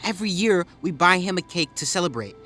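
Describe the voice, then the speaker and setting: An actress playing a blunt, sarcastic teenager who judges quickly, in a family audio drama. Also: female voice, fairly low pitch, expressive, fairly bright tone, faint music behind. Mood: hurt, angry.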